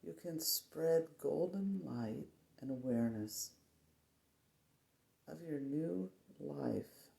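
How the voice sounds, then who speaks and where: A meditation guide speaking soothingly in short, measured phrases with long pauses, offering spiritual affirmations. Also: mid-range voice, animated, vocal fry, mellow. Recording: roomy echo, faint background noise.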